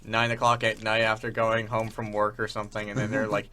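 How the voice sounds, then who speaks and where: A man's voice: on the low side, completely flat delivery, brisk, sharp tone. A young man hosting a casual chat show, speaking informally in stop-start phrases with repeated words. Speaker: show host.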